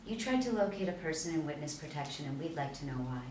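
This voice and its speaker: A woman's voice: sits low, animated, fast talker, a little mellow. A woman reading the part of a composed, skeptical FBI agent questioning a suspect, at a TV pilot table read. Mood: probing, dry. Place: small meeting room, seated in a row. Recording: echoey room, distant mic, faint noise.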